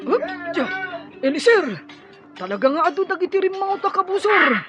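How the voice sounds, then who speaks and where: A woman's voice: extremely high pitch, very animated, normal speed, lo-fi sound, over loud music. A woman playing a loyal young tribeswoman warrior in an Ilocano-accented radio drama, speaking earnestly and dramatically. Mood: protective. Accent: Filipino, Ilocano.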